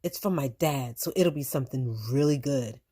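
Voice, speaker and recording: A woman's voice: a bass voice, moderate pace, mellow, breathy edge. A woman voicing an earnest, emphatic young boy who loves his pet, in a children's storybook reading. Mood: hopeful. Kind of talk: read-aloud children's storybook dialogue.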